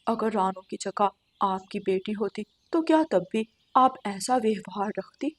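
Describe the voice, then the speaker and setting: A woman's voice: medium pitch, unhurried, close to an everyday voice. Woman voicing an earnest young husband bird in a cartoon. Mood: protective, pleading. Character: caring young husband sparrow, firm with his mother.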